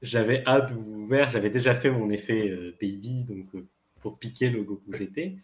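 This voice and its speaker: A man with a fairly low voice talks through card game strategy step by step, circling back and restarting his sentences as he goes. His voice carries a noticeable roomy echo, as if he is sitting back from the mic in a bare room. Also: steady tone, moderate pace. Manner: explains plays step by step, trailing restarts. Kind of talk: casual strategy discussion.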